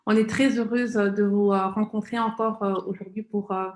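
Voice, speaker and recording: A woman hosting a live interview show, speaking in halting, repetitive phrases. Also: medium pitch, little pitch movement, darkish tone. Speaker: webmagazine founder and show host. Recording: roomy, echoing live-stream sound.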